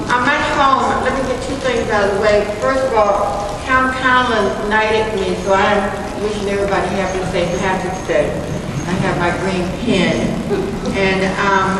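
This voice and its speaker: Loud older woman in an echoey hall. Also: medium pitch. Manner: loud, conversational, with many fillers and asides. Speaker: agency director speaking at a community forum. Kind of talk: public talk at a panel forum. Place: indoor hall at a community forum. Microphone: handheld microphone. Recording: echoey hall from far off, noisy.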